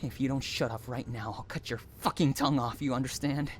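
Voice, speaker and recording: A man playing an obsessive, unhinged stalker and kidnapper in an intimate audio roleplay, taunting and swinging between soft coaxing and menace. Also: mid-range voice, steady tone, fairly quick, slight background noise, lightly acted. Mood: angry, menacing.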